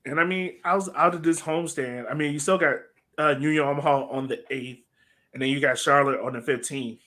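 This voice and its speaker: A man's voice: a little high, fairly quick, pushed and bright. A man co-hosting a soccer podcast, chatting casually through analysis and repeating phrases for emphasis. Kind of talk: casual sports analysis chat.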